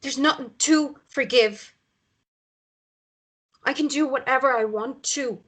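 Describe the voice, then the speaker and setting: A woman's voice: sits high, measured pace, a bit bright, slightly rough, full character voice. An actress playing a hurt, defiant woman with an intense, emotional manner in a drama table read. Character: hurt, defiant mother standing up to her ex.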